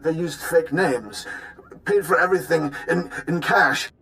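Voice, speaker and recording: A man's voice: on the high side, measured pace, sharp tone. An actor playing a pompous, wealthy and shady businessman who is imperious and easily panicked, in a video game. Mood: grudging confession. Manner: imperious, blustering.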